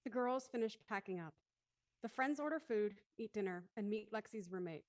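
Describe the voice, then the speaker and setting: A woman's voice: mid-range voice, animated, normal speed. A woman working as narrator, reading scene headings and stage directions in a scripted style.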